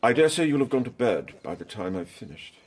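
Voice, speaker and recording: An actor playing a shy, earnest, awkward gentleman in a period radio drama, halting, stammering and stiffly formal. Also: mid-range voice, big pitch swings. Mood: stiff, restrained. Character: shy, awkward, earnest colonial suitor and dull husband.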